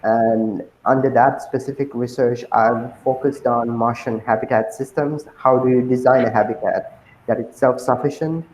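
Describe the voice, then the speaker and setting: A young man from Sri Lanka answering interview questions as a guest, pausing often with ums and uhs. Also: medium pitch, steady tone, darkish tone, roomy sound, slight background noise, poor audio. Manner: hesitant, many ums and uhs.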